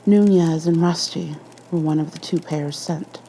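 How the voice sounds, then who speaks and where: A woman's voice: sits low, steady tone, a little slow, mellow, slight background noise, rough recording. A woman reading a scripted horror story as an ASMR narrator.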